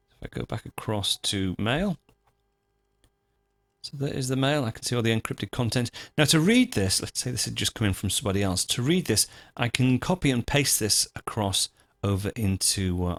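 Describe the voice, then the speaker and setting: A man presenting a software tutorial, talking informally and methodically through each step as he goes. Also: lowish voice, vocal fry, dry recording. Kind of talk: screencast tutorial, unscripted step-by-step narration.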